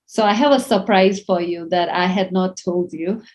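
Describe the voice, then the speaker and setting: A woman's voice: mid-range voice, unhurried, darkish tone. A young woman interviewing a guest, asking long, chatty questions full of "you know" and "like". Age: young adult. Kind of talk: conference interview.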